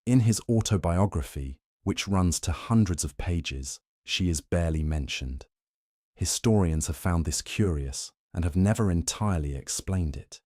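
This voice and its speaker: A man reading a scripted history narration in a slow, measured, soothing way meant for falling asleep. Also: deep voice, lively intonation, darkish tone, no echo, studio quality. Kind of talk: calm scripted bedtime narration.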